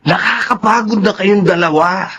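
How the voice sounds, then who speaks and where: Weary, exasperated man, higher voice. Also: moderate pace, fairly bright tone, slight background noise. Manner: reproachful, pleading. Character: weary, exasperated male peacemaker in a household feud. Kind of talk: radio drama acting.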